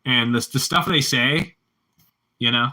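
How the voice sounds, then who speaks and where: A man's voice: mid-range voice, measured pace, bright, forceful tone, rough recording. A man with a Pittsburgh accent chatting casually with live-stream viewers, rambling and repeating himself as he answers the chat.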